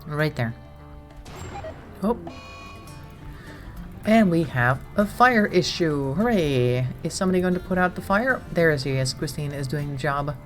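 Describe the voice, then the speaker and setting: A woman with a low voice, a little congested from a cold, gives casual game commentary. She chats to herself as she manages things, with soft music playing faintly behind her. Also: lively intonation, measured pace, long pauses. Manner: chatty, talks to herself while managing the colony. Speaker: streamer.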